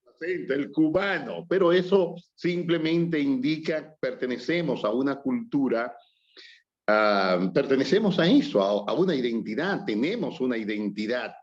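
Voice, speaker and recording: A man from the Dominican Republic with a Dominican Spanish accent, speaking reflectively in a relaxed conversation, often pausing with fillers. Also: medium pitch. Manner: reflective, hesitant with fillers and restarts. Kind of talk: casual conversation on culture and language. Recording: slightly dull lo-fi audio.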